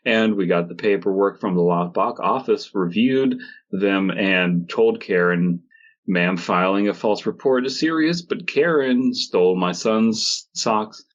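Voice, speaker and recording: A man with a lowish voice co-hosts a comedy podcast. He reads stories aloud with goofy mockery, and the recording sounds a little dull and lo-fi. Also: measured pace. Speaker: podcast co-host. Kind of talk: comedic read-aloud of online stories with banter.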